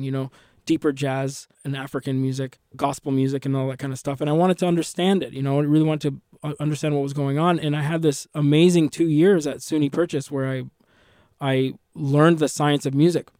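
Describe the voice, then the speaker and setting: A man's voice: a little high, level tone, brisk, warm tone, no echo, studio quality. A man whose first language is Arabic, talking reflectively as a musician guest in an interview, often restarting his sentences and adding "you know". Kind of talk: interview conversation.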